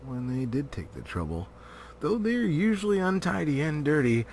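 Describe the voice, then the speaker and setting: A man narrating a storybook as an amateur reader, theatrical, with drawn-out words and pauses. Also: fairly high pitch, sing-song, darkish tone, slight background noise. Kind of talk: dramatic storybook reading.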